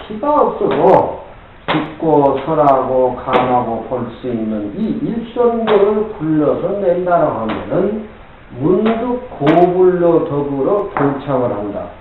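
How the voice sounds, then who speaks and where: An older man teaching a Buddhist scripture to a group of students as a Zen teacher, talking gruffly and constantly prodding them with "eh?". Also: a little high. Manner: gruff, keeps prodding listeners with "eh?". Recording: very echoey, dull lo-fi tape with faint hiss.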